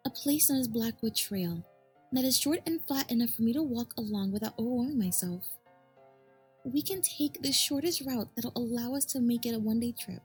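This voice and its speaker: A woman playing a gentle, affectionate pony wife in an acted audio fan fiction. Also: fairly high pitch, slightly rough. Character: gentle, caring pregnant female pony wife.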